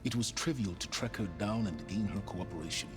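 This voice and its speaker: A cold, scheming man voiced by an actor in a video game, low in pitch. He speaks formally and with measured condescension, like someone always calculating. Music plays clearly behind him. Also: expressive, lightly acted. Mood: calculating, proud. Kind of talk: video game dialogue acting.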